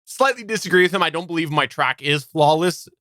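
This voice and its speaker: A man with a bright, very clear voice hosts a casual technical chat. He explains things in a roundabout way, adding asides and qualifications as he goes. The sound is close and dry, as from a quiet home studio. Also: fairly high pitch, brisk, no echo. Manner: discursive, qualifies his points with asides. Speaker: podcast host. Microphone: close studio microphone through preamp.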